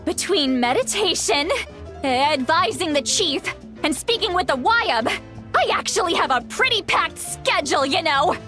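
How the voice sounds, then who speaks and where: Bright, high-pitched tipsy shaman granny. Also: lively intonation, unhurried, faint music behind, heavily acted. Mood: tipsy boastful. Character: tipsy, grumpy young-looking shaman granny. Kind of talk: anime game voice acting.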